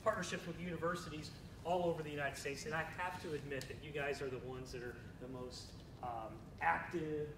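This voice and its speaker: A man's voice: steady tone, fairly quick. A man in his forties from Ohio, giving a chatty talk to student teachers and joking with the audience. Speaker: school district administrator. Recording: echoey room from far off, low rumble.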